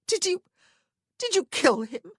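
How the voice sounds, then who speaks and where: A woman's voice: very high voice, very animated, a little slow, no echo, studio quality, voice pushed up, exaggerated voice. A woman voicing a dramatic, fretful and protective married sister in a storybook, moaning and exclaiming. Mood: distressed.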